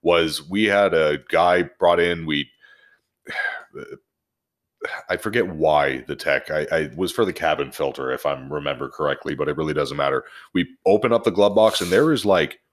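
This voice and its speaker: A man's voice: extremely low pitch, normal speed. An American man chatting casually and telling anecdotes as a podcast co-host, with slangy, salty language. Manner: profane, slangy.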